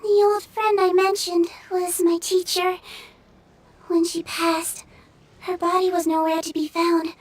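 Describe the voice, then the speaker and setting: A woman voicing a small, gentle, childlike magical nurse in a video game, soft and earnest. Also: very high-pitched, level tone, measured pace. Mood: wistful. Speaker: game character voice.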